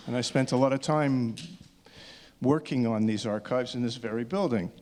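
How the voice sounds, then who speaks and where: A man, a filmmaker speaking informally and haltingly to an audience at a screening, often restarting his words. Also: medium pitch, darkish tone. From Canada. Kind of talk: informal unscripted speech to an audience.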